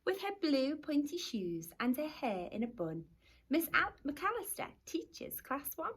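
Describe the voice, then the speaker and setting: A woman teacher reading a rhyming picture book aloud to young children in an expressive storytelling manner. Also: high voice, measured pace. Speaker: primary school teacher.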